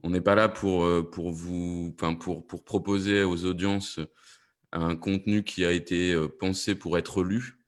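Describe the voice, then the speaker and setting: A man's voice: deep voice, little pitch movement, unhurried. A man speaking as a podcast producer on a discussion panel, explaining things and often rephrasing as he goes.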